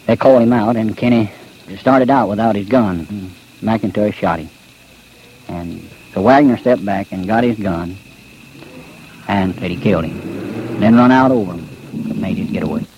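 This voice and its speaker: A man with a low voice and a Southern US accent recalls events as a witness, plain and halting. The old recording is dull, with faint noise. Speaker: night marshal. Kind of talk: unscripted witness recollection.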